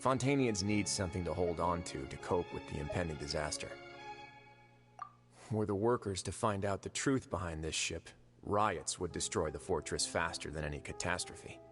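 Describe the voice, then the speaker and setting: A man playing a composed, dryly amused prison administrator in a video game, speaking in a measured, suave way. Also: sits low, expressive. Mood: calm, assured. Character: composed, wry male prison fortress administrator. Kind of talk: video game character acting. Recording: game music playing behind the voice.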